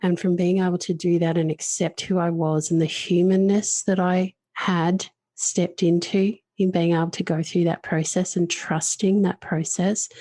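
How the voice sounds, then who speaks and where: A woman's voice: on the low side, dark tone, no room sound. A woman hosting a podcast, talking earnestly and reflectively about her own experiences. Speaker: podcast host.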